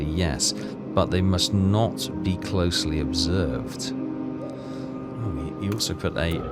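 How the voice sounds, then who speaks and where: A man with a low voice narrates his way through a game in a casual, halting way. He mutters his thoughts and reads passages aloud. Loud music plays behind him. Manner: muttering, thinking aloud, halting while reading. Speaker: video game walkthrough player. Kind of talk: casual game commentary mixed with reading in-game text aloud.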